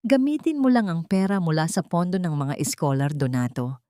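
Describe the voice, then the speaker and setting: Woman narrator as haughty elderly matriarch, lowish voice, cold, imperious, audiobook drama. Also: animated, normal speed, warm tone, no room sound, clean studio sound, lightly acted. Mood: cold, scheming. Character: wealthy, haughty, callous old matriarch. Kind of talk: audiobook character dialogue.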